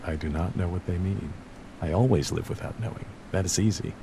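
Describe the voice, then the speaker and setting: Earnest scientist: deep, creaky voice, solemn and deliberate, scripted game audio log. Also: male voice, background noise. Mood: musing. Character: earnest, principled male scientist pondering doubt and freedom.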